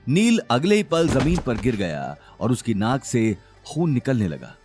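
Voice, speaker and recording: A male storyteller narrates a scripted drama with expressive, dramatic delivery. His mid-range voice moves in animated swings of pitch, and soft music plays underneath. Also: a little slow.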